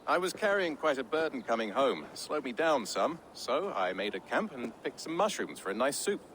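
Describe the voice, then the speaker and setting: Lively, breezy woodland fellow. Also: male voice, medium pitch, normal speed, slight background noise. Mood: sheepish. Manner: breezy, animated. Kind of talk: video game acting.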